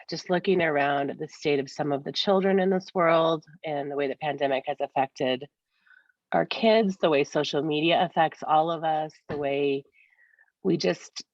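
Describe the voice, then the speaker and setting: Female podcast co-host, deep-voiced and mildly congested, conversational, on a dull, roomy recording. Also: normal speed. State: slightly congested from a cold-like illness. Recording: dull, roomy, echoey sound.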